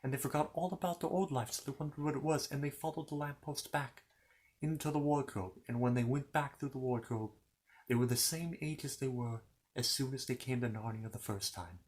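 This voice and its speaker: A man's voice: mid-range voice, fairly quick, darkish tone. A man telling a children's fantasy story in an informal ASMR style, rambling along with playful, courteous asides to the listener.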